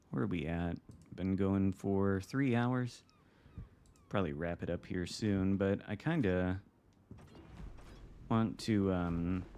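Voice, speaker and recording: Relaxed American man, low voice. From Michigan. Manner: relaxed, wry, reacting to the game with mild swearing. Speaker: game streamer. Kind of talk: casual live-stream commentary. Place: home room.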